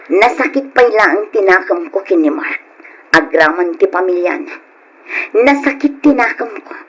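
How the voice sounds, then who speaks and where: Lady acting a stubborn bitter daughter in a radio drama, kinda raspy, proud n dramatic. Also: mid-range voice, expressive, normal speed, fairly bright tone, rough recording. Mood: bitter, determined. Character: proud, resentful ambitious daughter out for payback.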